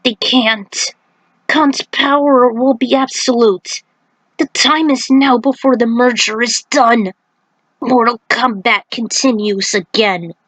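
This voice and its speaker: A woman's voice: fairly high pitch, fairly even intonation, takes it slowly, a bit bright, dry recording, low-quality recording. A woman voicing a grave, protective male thunder god in a story, with solemn, emphatic declarations. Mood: strained, grave.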